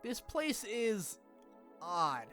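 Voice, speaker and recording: A man's voice: sits high, big pitch swings, drawn-out words, sharp tone, soft background music. A man narrating a scripted but casual game review, with informal wry asides and some swearing. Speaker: video essay narrator.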